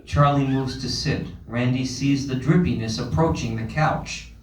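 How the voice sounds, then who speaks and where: Male stage-direction reader for a table read, medium pitch, recorded off-mic in a reverberant room. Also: a little slow. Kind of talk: scripted narration at table read. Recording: roomy, distant, faint ambient noise.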